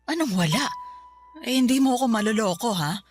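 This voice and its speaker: A caring, prying middle-aged mother played by a woman in a radio drama. Her voice is fairly high and her talk is motherly and colloquial, over soft music. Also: animated, fairly quick, close to an everyday voice. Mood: probing, insistent. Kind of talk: Tagalog radio drama acting.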